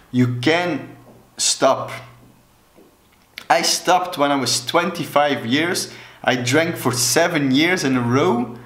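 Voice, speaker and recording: A man in his thirties from Belgium, a native Dutch speaker with a Belgian Dutch accent, talking earnestly and deliberately to camera as an alcohol coach, gesturing with both hands. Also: on the high side, a bit bright. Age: 30s. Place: small room indoors, plain wall. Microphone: camera mic, head and shoulders. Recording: echoey room.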